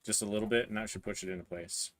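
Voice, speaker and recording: A hoarse man in his thirties livestreaming a printer build, talking haltingly as he searches for words and clears his throat. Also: on the low side, fairly even intonation, fairly bright tone. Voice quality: voice cutting out, clears throat often. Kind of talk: live build stream, casual talk while working.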